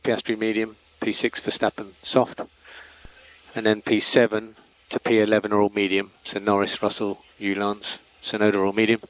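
A man working as a race engineer gives calm, methodical, terse instructions over team radio, repeating key calls. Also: lowish voice, low-quality recording. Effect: team radio transmission. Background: vehicle hum and noise.

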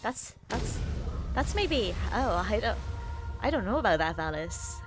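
A woman's voice: mid-range voice, big pitch swings, a little slow, over music. A woman streaming a game, sniffly, teasing her chat in a playful, profane way. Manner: playful, teasing, swears freely. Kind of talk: casual gameplay livestream chat.

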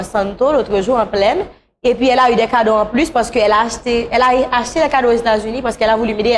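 A woman's voice: mid-range voice, a little hiss or hum. A woman, speaking conversationally as a guest in a talk show interview. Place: small talk show studio, on a couch.

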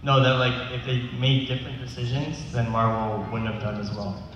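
Halting man in a noisy echoing hall. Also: mid-range voice, completely flat delivery, dull audio. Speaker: audience questioner at convention panel. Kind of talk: unscripted question from the floor. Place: large convention hall. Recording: echoey hall from far off, noisy.

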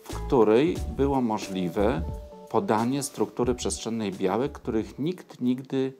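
Gravelly older male professor. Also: mid-range voice, warm tone, soft background music. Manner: deliberate, repeating and rephrasing points. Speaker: professor commenting on a colleague. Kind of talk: interview. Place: office.

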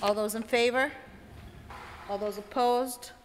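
A woman's voice: on the high side, fairly even intonation, big-room sound, a little hiss or hum. Woman chairing a council meeting. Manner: brisk, procedural. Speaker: council president. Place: council chamber.

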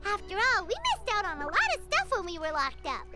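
A woman voicing a tiny, perky, childlike fairy companion in a video game, squeaky and bubbly. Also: wildly swooping pitch, a little fast, full character voice. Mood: chatty. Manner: bubbly, exclamatory, third-person self-reference. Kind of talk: cartoonish video game acting. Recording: game music behind.

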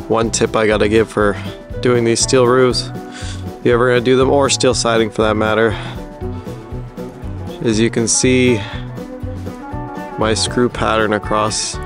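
Even-toned man casually explaining a build, music behind. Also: fairly low pitch. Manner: plain-spoken, practical, informal. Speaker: host of a DIY building update vlog. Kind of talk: casual explanatory walkthrough of construction work.

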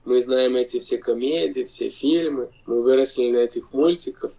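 A Lithuanian-accented man in his forties, a non-native speaker, argues insistently over a video call, stopping to search for words. His mid-pitched voice has a gravelly, creaky texture. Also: warm tone, rough recording. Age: 40s. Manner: insistent, halting non-native speech with pauses. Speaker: video-chat guest. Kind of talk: heated video-chat argument.

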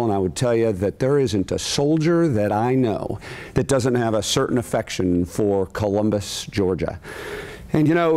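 A man's voice: medium pitch, darkish tone. Earnest military man giving a formal speech. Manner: earnest, deliberate, emphatic repetition. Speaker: army general giving acceptance speech. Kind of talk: formal acceptance speech to camera. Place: furnished room indoors, seated in an armchair.

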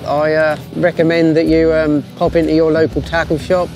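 Young man, higher-pitched, over music. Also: monotone, normal speed, darkish tone. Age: young adult. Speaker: sea angler and crab fisherman. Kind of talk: informal documentary-style talk to camera about fishing.

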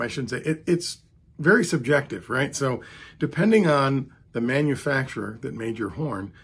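A man with a hoarse, gravelly voice chats to his camera in a rambling, self-deprecating way. His tone is rough and husky throughout, with plenty of fry at the ends of phrases. He speaks into a close mic in a small room at home. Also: mid-range voice. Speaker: trumpet hobbyist vlogger. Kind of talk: casual talk to camera. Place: home music room, indoors. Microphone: studio mic beside camera.

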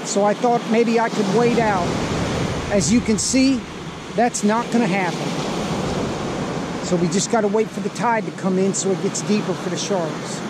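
Man vlogging over loud surf and wind. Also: high-pitched. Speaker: fishing vlogger. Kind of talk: casual running commentary. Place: outdoors on an open ocean beach. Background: waves, surf and wind.

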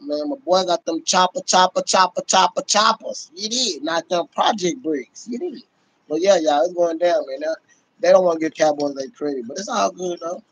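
Man, high voice, casual sports livestream talk, slang, frequent swearing, repeated catchphrases, phone recording indoors. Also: dry recording, rough recording. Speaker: livestream host and Cowboys fan. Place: small room indoors. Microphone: phone at close range.

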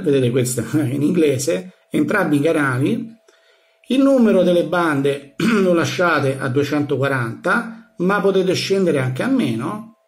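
Higher-pitched man, informal tutorial. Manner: thinks aloud, narrating each click with small verbal sound effects. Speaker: tutorial presenter. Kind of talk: informal hands-on software tutorial. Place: indoors in a room. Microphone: handheld phone filming a laptop screen.

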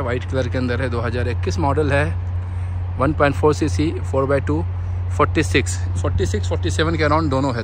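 A man's voice: medium pitch, background noise. A man gives a casual, informative walk-around as a car vlogger, mixing in English words, recorded outdoors on a handheld phone. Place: outdoor used-car parking lot at night. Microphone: handheld phone, behind the camera.

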